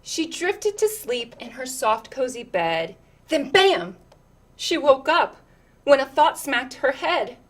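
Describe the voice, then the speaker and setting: Slow-paced, higher-voiced woman reading to children. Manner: animated, engaging listeners with questions and asides. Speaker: storyteller reading a picture book to children. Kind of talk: expressive children's read-aloud with chatty asides.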